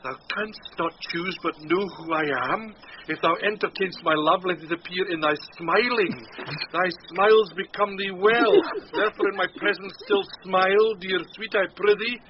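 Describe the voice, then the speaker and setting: Man acting a pompous, high-pitched steward. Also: natural voice. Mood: besotted. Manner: pompous, savouring and drawn-out delivery. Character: pompous, self-deluded household steward, gullible and vain. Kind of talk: theatrical Shakespearean radio acting. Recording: muffled, noisy old radio broadcast.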